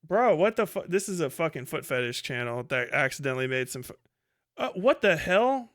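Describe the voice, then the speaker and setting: A man's voice: a little high, sing-song, a little fast, a bit bright. A man hosting a casual livestream commentary, swearing heavily in an exasperated, incredulous way. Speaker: livestream host.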